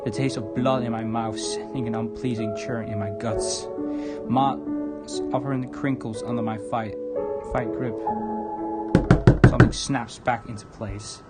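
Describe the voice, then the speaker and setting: A man narrating a visual novel aloud on a livestream, dramatic but halting, stumbling over the written words. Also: steady tone, loud music behind the voice. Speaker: streamer, narrator. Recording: noisy room with low rumble.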